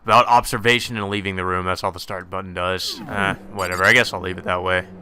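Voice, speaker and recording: A man's voice: sits low, level tone, quick pace, background noise. A man narrating a visual novel playthrough, casual and sweary in his delivery. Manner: casual, heavily profane. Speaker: let's play streamer. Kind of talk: gameplay commentary and visual novel read-aloud.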